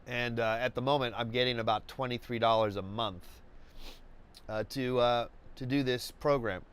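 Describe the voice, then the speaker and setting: A man hosting a live painting webcast, congested and stuffy, talking in a slow, rambling way with many restarts and fillers. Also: mid-range voice, fairly even intonation, a bit bright, clean tone. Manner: halting, many restarts and fillers, laid-back. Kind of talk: rambling live-stream chat.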